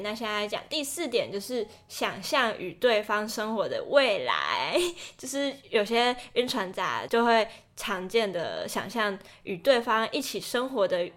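A woman's voice: medium pitch, fairly bright tone. A young woman co-hosting a podcast in a casual, chatty style. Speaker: podcast co-host.

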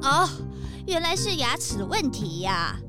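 Sassy, high-voiced gossip acted by a woman. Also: big pitch swings, unhurried, music in the background. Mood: realizing. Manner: scornful, emphatic. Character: sassy, gossipy suspect defending themselves. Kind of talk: children's detective radio drama.

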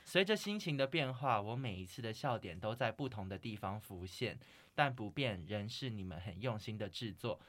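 A woman with a Taiwanese Mandarin accent co-hosting a casual podcast in an animated, jokey manner. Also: a bass voice, moderate pace. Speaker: podcast co-host. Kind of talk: casual chat.

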